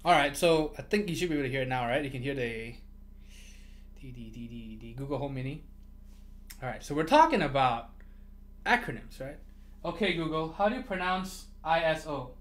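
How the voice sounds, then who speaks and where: A man who did not grow up speaking English chats on his livestream, ranting and laughing as he goes. His pitch swings widely from phrase to phrase, and he swears freely. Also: lively intonation, brisk, pauses often. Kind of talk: casual livestream chat.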